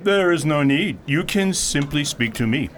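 A man playing a polite, literal-minded mentor guide in an audio drama, speaking in a formal, measured and precise way. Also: on the high side, animated, a bit bright. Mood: courteous, unruffled. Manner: formal, measured, precise phrasing with few contractions.

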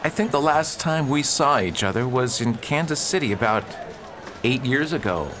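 An actor playing an upright, earnest preacher who pleads and reasons with others in a radio drama. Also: male voice, medium pitch, expressive, moderate pace, lightly acted. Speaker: reverend at a mission.

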